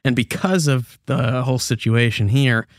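A man's voice: medium pitch, steady tone, moderate pace, no echo, clean studio sound. A young American man co-hosting a podcast with conversational news commentary. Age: young adult. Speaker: podcast co-host.

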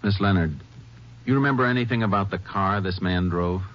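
A man acts as a middle-aged American lawman with an extremely low voice, courteous and sparing with words. The style is 1950s western radio drama, and the recording is dull with faint noise. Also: natural voice. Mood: grave, businesslike. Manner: measured, laconic, polite questioning. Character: calm, courteous middle-aged male Texas Ranger investigator.

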